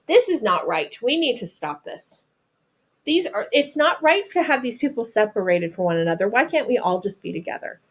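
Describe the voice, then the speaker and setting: A woman reads a children's picture book aloud, deliberately, adding simple explanations in her own words as if talking to children. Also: fairly high pitch. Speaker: storybook reader.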